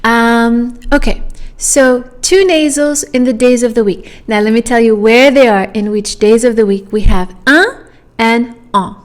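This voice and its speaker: A woman's voice: on the high side, measured pace. A native French-speaking woman tutoring pronunciation, drilling sounds one at a time and checking in with "okay?". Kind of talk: pronunciation lesson.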